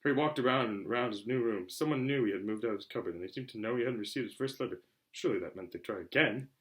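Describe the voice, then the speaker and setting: A man narrating a children's novel aloud in an animated way, occasionally stumbling over words. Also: medium pitch, racing, low-quality recording. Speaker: reader, show host. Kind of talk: amateur audiobook-style story narration.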